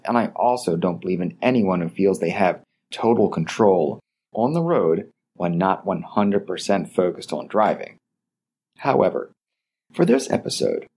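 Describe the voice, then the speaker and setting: A middle-aged man hosting a scripted etiquette advice podcast, speaking in a polite, measured way. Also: lowish voice, vocal fry. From Baltimore, Maryland area. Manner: polite, measured, lively delivery. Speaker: podcast host.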